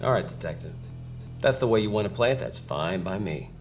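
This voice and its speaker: An earnest forties investigator with a lowish voice and very animated intonation. He talks in old-fashioned casual phrases, a bit awkward and easily exasperated, with music behind him. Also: fairly quick. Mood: frustrated. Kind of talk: video game noir dialogue acting.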